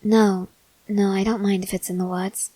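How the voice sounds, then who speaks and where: A woman playing a stoic, confident young woman soldier in an ASMR roleplay, speaking in a measured, serious, guarded way with hesitant pauses. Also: medium pitch, level tone, dark tone, natural voice, low-quality recording. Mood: cool, confident. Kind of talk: ASMR roleplay, acted scene to a listener.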